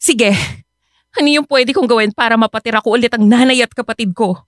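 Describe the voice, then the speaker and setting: A woman's voice: a little high, very animated, moderate pace, no echo, clean studio sound. An actress playing a hurt but firm young mother confronting her husband reproachfully in a radio drama. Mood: skeptical.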